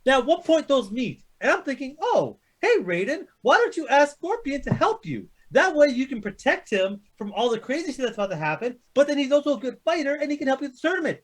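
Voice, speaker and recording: A man's voice: extremely high pitch, sing-song, fast, few pauses. A man co-hosting a casual chat show, arguing and telling stories in an exasperated way, swearing a lot. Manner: exasperated, argumentative storytelling. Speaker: co-host of a video game movie chat show. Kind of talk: casual animated ranting, heavy swearing.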